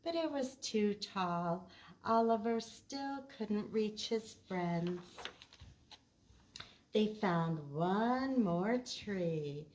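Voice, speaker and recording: A woman's voice: medium pitch, animated, very slow, a little mellow, slight background noise. A woman hosting preschool storytime as a children's librarian, reading picture books expressively and explaining simply to small children. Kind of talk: picture-book read-aloud and chat to young children.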